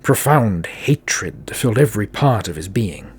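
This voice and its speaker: A man with a gravelly, husky voice narrating a fantasy audio drama in a deliberate, dramatic way. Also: on the low side, expressive.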